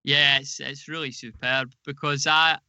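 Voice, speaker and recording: A man's voice: medium pitch, level tone, unhurried, pushed and bright, no room sound. A man speaking casually as a podcast guest, in a wry, self-deprecating way. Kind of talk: casual chat.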